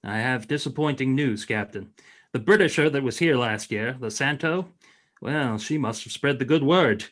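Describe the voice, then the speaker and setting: A fatherly older fleet captain in a radio play, acted by a man. He speaks at medium pitch, with measured authority. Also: a bit bright, close to an everyday voice. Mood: grave, commanding.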